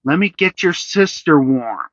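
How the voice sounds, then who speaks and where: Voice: mid-range voice, expressive, a little slow, fairly bright tone, no echo, highs cut off, pitched above the performer's own voice. A storybook reader voicing a strict mother who talks firmly and scolds her son, in a slightly raised voice. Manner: firm and stern. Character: firm, strict mother of two.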